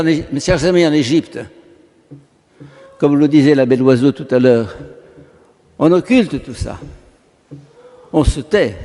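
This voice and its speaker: A man giving an impassioned public speech, slow and declamatory, stacking word after word for effect. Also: a little high, dark tone. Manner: emphatic, slow and declamatory, lists words in a row. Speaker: speaker at a public meeting. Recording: long hall reverb.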